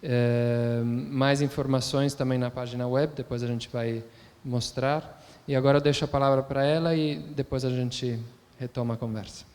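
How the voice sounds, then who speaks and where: A man reads out an introduction as a moderator, halting and restarting over some phrases. His voice stays flat and mid-pitched. The hall around him gives it a long reverberant tail. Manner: flat delivery with hesitant restarts. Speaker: event moderator. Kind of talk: reading an introduction. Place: cultural center lecture room. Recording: echoey hall.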